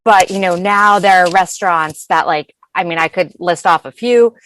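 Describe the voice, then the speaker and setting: A woman's voice: fairly low pitch, normal speed, fairly bright tone, dry recording. An American woman from Massachusetts chatting casually as a podcast guest. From Massachusetts, United States. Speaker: podcast guest, food blogger. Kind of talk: casual chat. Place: closet.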